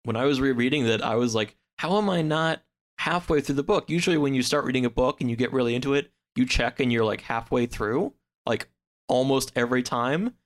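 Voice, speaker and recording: Man, podcast guest, mid-range voice, halting delivery, casual book chat. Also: mid-range voice.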